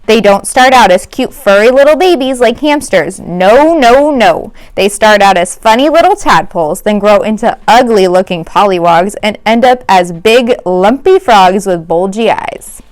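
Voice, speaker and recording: A woman reads a children's book aloud like a teacher at storytime. Her voice sits at a middle pitch, and her delivery is expressive. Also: normal speed. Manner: expressive, acts out dialogue.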